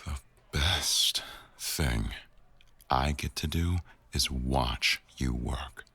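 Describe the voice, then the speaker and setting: A man acting an attentive, tender boss in an intimate boyfriend ASMR roleplay, murmuring slowly to an employee in a gravelly voice. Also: pushed and bright, no echo, heavily acted. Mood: reassuring, adoring. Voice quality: very deep, gravelly.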